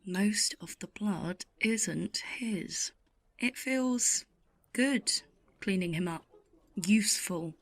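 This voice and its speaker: Unhurried, wry middle-aged waitress played by a woman. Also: medium pitch. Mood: weary, reflective. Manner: intimate, sardonic, deliberate storytelling. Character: world-weary, wry older waitress in a late-night cafe. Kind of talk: audio play monologue with acted dialogue.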